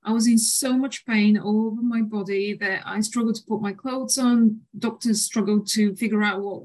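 A woman in her thirties from Bulgaria, a nutritional therapist answering interview questions in a measured way with frequent fillers. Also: mid-range voice, a little mellow.

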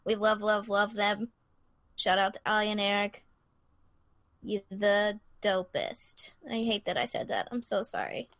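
A woman's voice: medium pitch, little pitch movement, unhurried, a bit bright, no room sound. A woman co-hosting a podcast in casual chat, bubbly and chatty, laughing easily while plugging friends' work. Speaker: podcast co-host.

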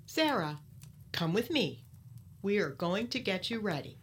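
A middle-aged woman acting as a gentle, consoling mother in an audio drama, soothing in manner. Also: medium pitch, very animated, a little slow, slight background noise. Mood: comforting.

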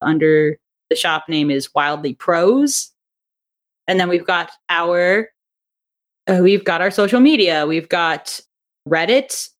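A woman's voice: lowish voice, takes it slowly, pauses often. A young adult woman chatting casually as an interview guest, with a giggly, talkative manner. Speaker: interview guest, audio drama writer and director.

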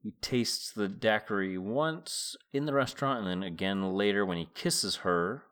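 Male podcast host, middle-aged, slightly low pitch. Book narration and informal, reflective solo commentary. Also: moderate pace, fairly bright tone, smooth. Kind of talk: book read-aloud and casual solo commentary.

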